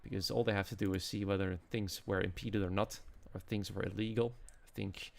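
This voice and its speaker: A man's voice: fairly low pitch, level tone, a little fast, creaky. A man with a Dutch accent, speaking English as a non-native, giving live commentary as an esports racing commentator. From Netherlands.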